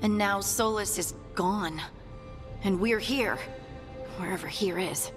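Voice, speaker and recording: An earnest dwarf woman scout, voiced by a woman who keeps pressing the others to act in a fantasy game. Clear music plays behind her. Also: medium pitch, takes it slowly, lightly acted. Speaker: party companion. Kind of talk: video game voice acting.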